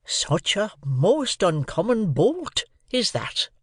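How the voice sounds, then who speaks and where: A man reading aloud and voicing a kindly, simple working man who talks in a slow, earnest, homely way. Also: sits high, very animated, no room sound, studio quality, pitched above the performer's own voice, full character voice.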